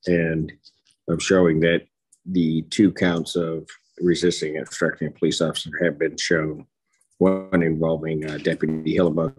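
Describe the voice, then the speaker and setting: A middle-aged man speaking as a judge, formal and measured, with halting phrasing as he rules from the bench. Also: very deep voice, a little hiss or hum, poor audio. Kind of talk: formal court proceedings, ruling from the bench. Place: courtroom bench.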